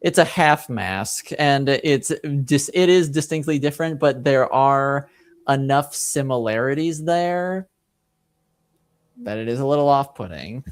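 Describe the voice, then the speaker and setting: Smooth, halting male game master. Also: medium pitch, animated, no echo. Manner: hesitant, halting, pieces descriptions together. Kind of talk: casual improvised tabletop game narration.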